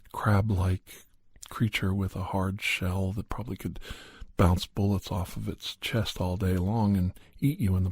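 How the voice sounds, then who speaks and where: A man with a soft, husky voice telling a story in a gentle, measured ASMR style as a podcast host. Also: fairly low pitch, no room sound.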